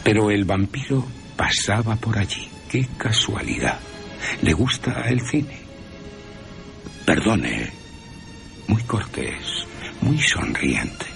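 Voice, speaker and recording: A man's voice: on the low side, lively intonation, slow, vocal fry. A man with an accent from Spain giving scripted, theatrical and wry narration as a radio presenter. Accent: Spanish (Spain). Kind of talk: scripted dramatic narration of a film, with character voices. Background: music.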